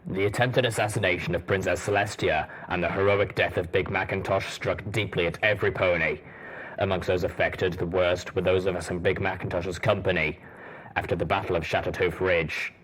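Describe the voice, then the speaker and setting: A man voicing a battle-weary male pony soldier who recounts the war in a grave, measured way. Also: low-pitched, steady tone, fairly bright tone, husky, rough recording.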